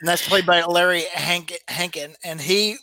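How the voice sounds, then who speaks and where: High, bright-voiced man in casual chat. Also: steady tone, normal speed, no echo, low-quality recording. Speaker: podcast co-host. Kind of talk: casual chat recapping a TV show.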